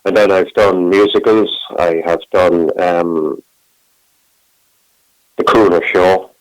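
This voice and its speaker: A man's voice: low-pitched, fairly even intonation, slow, no room sound, rough recording. A middle-aged man, a singer, chatting in a phone interview and rambling with many restarts. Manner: rambling, warm self-talk with many restarts.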